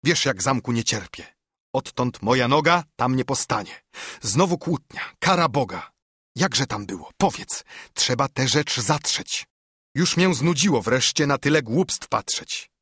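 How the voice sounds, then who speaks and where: Guy doing a serious monk from a verse storybook, urgent and admonishing, slightly husky, dry sound. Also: mid-range voice, animated, measured pace, a bit bright, dry recording, full character voice. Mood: scolding. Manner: admonishing, earnest, questioning. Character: brooding, urgent monk, scolding and pleading with a friend. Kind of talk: dramatised audiobook reading of verse.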